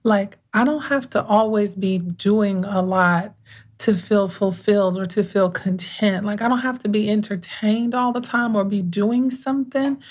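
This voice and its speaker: Soothing-voiced woman podcast host. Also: mid-range voice. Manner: unhurried, conversational, with thoughtful pauses and restarts. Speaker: podcast host and mindset coach. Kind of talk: casual reflective podcast monologue.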